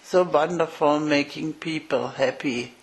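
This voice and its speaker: A woman's voice: low-pitched, rough recording. An actress playing a deranged, sweetly pitying woman who kills out of mercy, speaking in a tender, cooing way in an audio horror drama. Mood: tender, wistful. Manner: tender, cooing, slow and dreamy.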